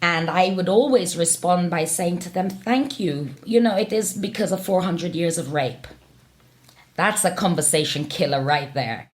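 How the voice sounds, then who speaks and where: A woman's voice: lowish voice, moderate pace. A woman being interviewed, telling a personal anecdote in a wry, pointed, conversational way.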